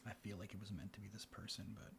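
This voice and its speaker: A man hosting a board game in ASMR style, mixing soft speech and whispers as he murmurs an unhurried play-by-play. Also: fairly low pitch, flat delivery. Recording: clear background noise. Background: room ambience, board game handling sounds.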